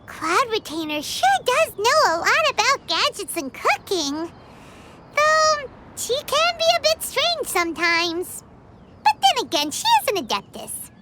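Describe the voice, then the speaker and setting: A woman voicing a tiny, cheeky floating girl companion in a game, with a high, squeaky, bouncy voice. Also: very animated, measured pace, heavy background noise, heavily acted. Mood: chatty. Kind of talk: game voice acting. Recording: game audio with loud music.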